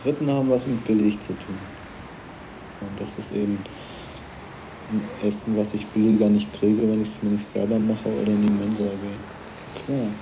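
A man's voice: on the low side, flat delivery, moderate pace, pauses often. A man talking casually and colloquially in an interview, often ending phrases with a questioning tag. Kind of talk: casual street interview talk. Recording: dull noisy 1980s cassette interview.

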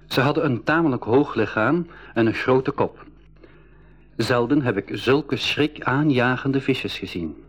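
A man's voice: mid-range voice, natural voice. A man playing an inquisitive expedition member who narrates a school radio adventure. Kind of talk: scripted radio drama narration and dialogue.

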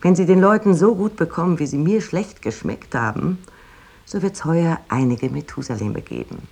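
A husky, expressive 19th-century woman poet. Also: sits low, moderate pace, full character voice. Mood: wry amusement. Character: 19th-century woman poet writing letters, wry and rapturous. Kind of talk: literary letter reading in a radio play.

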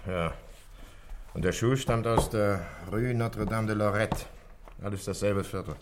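Man acting dutiful junior detective in a radio drama, low voice, plain and deferential, faint noise. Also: unhurried, close to an everyday voice. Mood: attentive. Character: dutiful male detective assisting his chief. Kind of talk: German radio play acting.

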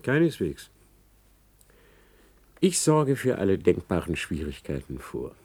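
Radio drama: arrogant, vindictive male professor, low register, biting and emphatic delivery. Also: big pitch swings, measured pace, darkish tone, husky, full character voice. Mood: coldly threatening. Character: spiteful, scheming male academic, arrogant and vindictive. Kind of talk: German radio play acting.

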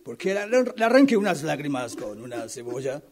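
A man plays a quietly menacing male character in a radio play. He is insistent and formal, soothing while he threatens, and always justifying himself. His voice sits at an ordinary middle pitch. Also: big pitch swings, brisk, crowd noise. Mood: indignant, self-justifying. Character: menacing yet soothing man coaxing tears from a woman. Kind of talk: radio drama workshop acting.

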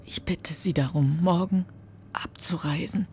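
A woman playing an anxious, guarded farmer's wife in a radio drama, speaking in a hushed, breathy, urgent way. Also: lowish voice, measured pace, slight background noise, natural voice. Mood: urgent, pleading.

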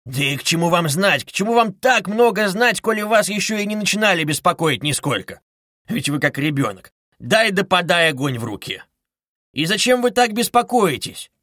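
Sly police investigator for an audiobook, pitched up high, teasing, coaxing and giggly, close dry sound. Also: male voice, very animated, normal speed, bright, forceful tone, no echo, full character voice. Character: wily, mocking, playfully cheerful male police investigator. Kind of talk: dramatized audiobook reading.

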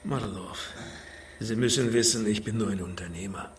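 An actor playing a haughty, discreet underworld middleman in a noir radio drama, speaking formally and evasively with a creaky voice. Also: on the low side, normal speed, natural voice. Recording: distant mic with background noise.

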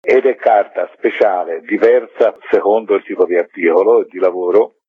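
A man's voice: low voice, moderate pace, slightly rough. A middle-aged man giving discursive, explanatory answers as an interview guest. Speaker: interview guest, magazine curator. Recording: tinny phone line.

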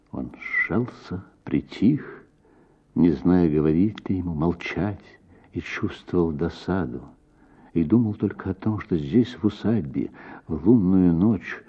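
A man reading a literary short story as expressive, theatrical audiobook narration for radio, with deliberate pauses and a husky voice. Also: sits low, muffled recording.

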